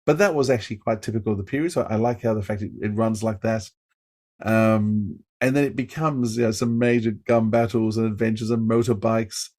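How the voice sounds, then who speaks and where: A man's voice: on the low side, steady tone, brisk, dark tone. A man hosting a podcast, talking casually as a fan and interviewer. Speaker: podcast host.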